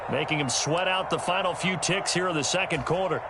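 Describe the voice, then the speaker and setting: A man playing a polished, energetic play-by-play announcer with an American accent in a football video game. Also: high voice, a little fast, a loud crowd, heavy background noise, lightly acted. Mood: brisk, focused. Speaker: play-by-play sports commentator.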